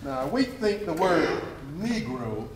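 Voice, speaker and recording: A man's voice: fairly high pitch, big pitch swings, slow, noisy background. A man playing a pompous, coldly dismissive 19th-century chief justice, declaiming emphatically in a readers theatre stage performance. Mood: imperious. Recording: echoey hall, distant mic, audience responses.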